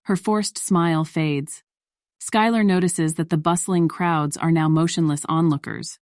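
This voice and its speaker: A woman's voice: low-pitched, fairly even intonation, measured pace, darkish tone, dry recording, studio quality. A woman reading scripted story narration in the role of narrator.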